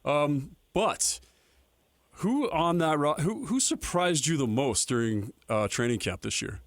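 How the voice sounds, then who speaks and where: A man's voice: fairly high pitch, lively intonation, measured pace, dry recording. A man talking sports casually as a co-host on a radio show.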